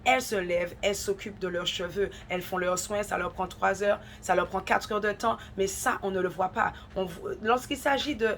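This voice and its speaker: A woman's voice: mid-range voice, fairly even intonation, fairly quick, hardly any pauses, a bit bright. Woman host with a cold, earnest and exhortative, mid-range voice, faint background hum. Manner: earnest, exhortative, repeats for emphasis. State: has a head cold, runny nose. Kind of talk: devotional encouragement talk.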